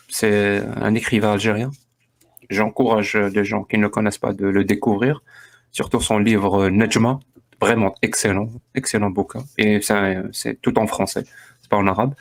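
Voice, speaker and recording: A man in a casual live-stream debate, explaining things in a calm, measured way. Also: fairly low pitch. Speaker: debate participant.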